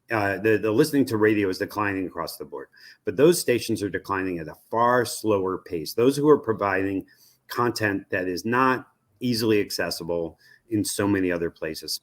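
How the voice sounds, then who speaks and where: An American man speaking as an interview guest, giving conversational expert commentary in a measured way, often halting to search for the right word. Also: low-pitched. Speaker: interview guest, radio research executive.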